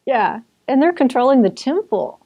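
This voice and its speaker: This is a woman with a mellow, warm voice explaining history in an easy, conversational way. She talks like a teacher chatting with a friend, restarting sentences and circling back as she goes. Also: sits high, expressive, normal speed. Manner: chatty, with restarts and occasional laughter. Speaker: author and Bible teacher.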